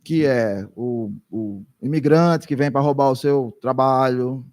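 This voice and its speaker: A man's voice: medium pitch, slow, darkish tone. A man doing consecutive interpretation for a lecture, speaking hesitantly with frequent restarts.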